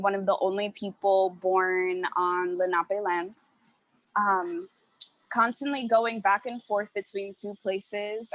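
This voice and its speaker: A woman's voice: mid-range voice, a bit bright, dry recording, poor audio. Candid New York woman, dry close sound. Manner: candid, emphatic, swears casually. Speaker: panelist artist. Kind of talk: casual panel chat. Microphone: video call.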